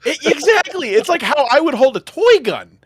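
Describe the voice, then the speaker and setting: Animated, laughing man hosting a podcast. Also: very high voice, moderate pace, no room sound. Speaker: podcast host. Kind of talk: casual chat on a video call. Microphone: video call.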